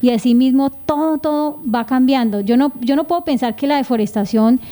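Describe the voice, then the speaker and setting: Mellow, higher-voiced Colombian woman. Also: fairly quick. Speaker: radio co-host. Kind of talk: casual, reflective radio chat.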